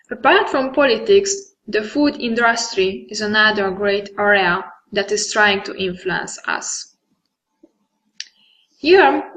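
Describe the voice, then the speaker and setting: A woman's voice: medium pitch, a bit bright, rough recording. A woman with a Hungarian accent, a non-native English speaker, giving a conference presentation and then answering questions with some hesitation. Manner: earnest, occasional hesitations. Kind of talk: presentation with audience Q&A.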